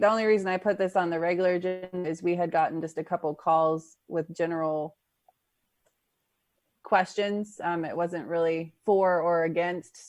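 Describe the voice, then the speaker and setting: A woman's voice: deep voice, darkish tone, no echo. A woman working as staff at a public board meeting, reading out items and talking informally through procedure. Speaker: city zoning board staff member.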